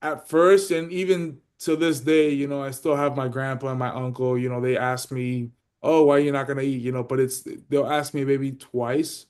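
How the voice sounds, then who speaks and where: A man speaks at an everyday middle pitch. He talks loosely and swears freely, padding his thoughts with "you know" and "like" as he explains himself in a casual podcast chat. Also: little pitch movement, normal speed, few pauses, darkish tone, clear, resonant tone. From Miami, United States. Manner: loose and profane, heavy on "you know" fillers. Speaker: podcast guest, pro bodybuilder.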